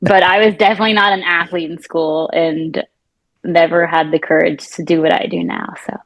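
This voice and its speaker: A woman's voice: lowish voice, flat delivery, a little fast, creaky, no room sound, rough recording. Chatty joking woman from Houston. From Houston, USA. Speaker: guest, marathoner and physical therapist. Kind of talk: casual interview chat.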